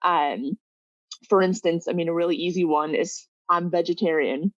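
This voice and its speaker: Slightly low-voiced woman, dry and a bit dull. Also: moderate pace, no echo. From Northern California. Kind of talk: casual chat.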